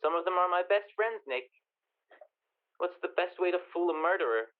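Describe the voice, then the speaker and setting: A man acts out a nervous, obnoxious fellow phoning in a radio mystery. His voice is high, halting and stammering, squeezed through a thin telephone line. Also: animated. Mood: anxious. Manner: hesitant, stammering. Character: nervous, obnoxious male caller fearing his own murder. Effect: telephone filter. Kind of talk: 1940s radio comedy-mystery acting. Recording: thin, narrow telephone-band sound.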